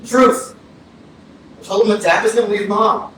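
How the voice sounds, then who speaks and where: A troubled young killer played by a stage actor gives halting confessions in short answers. The voice sits far from the mic in a heavily reverberant hall, with faint noise. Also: mid-range voice, fairly even intonation, a bit bright, lightly acted. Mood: halting, explaining. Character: subdued troubled young man confessing to killing his family. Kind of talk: staged play reading, interrogation dialogue. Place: arts center stage, distant mic.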